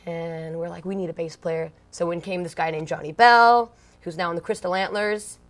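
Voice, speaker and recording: A woman's voice: lowish voice, steady tone, normal speed. A young woman from Long Beach, California, a punk band singer telling her story in an interview, chatty and blunt, full of "like" and "um". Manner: blunt, slangy, swears casually.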